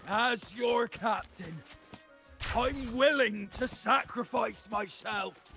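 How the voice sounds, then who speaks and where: A self-important, scheming pirate captain for a video game. He speaks high and very slowly, lilting through pompous, florid phrases. There is clear noise in the background. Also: exaggerated voice. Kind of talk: video game character acting.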